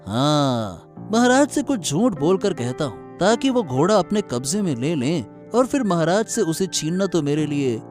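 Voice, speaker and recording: A man's voice: on the high side, big pitch swings, measured pace, hardly any pauses, darkish tone, faint music behind. A man voicing a greedy, scheming royal courtier in a cartoon story, with an oily, insinuating manner. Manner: oily, insinuating, talks aloud to himself. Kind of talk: animated moral story acting.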